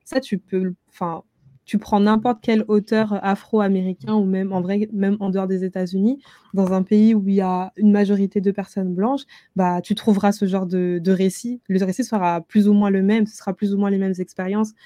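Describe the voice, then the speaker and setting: Bright-voiced young woman, close dry sound. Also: mid-range voice, level tone, moderate pace, no room sound. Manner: informal, colloquial speech. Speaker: book club host. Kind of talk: casual book discussion.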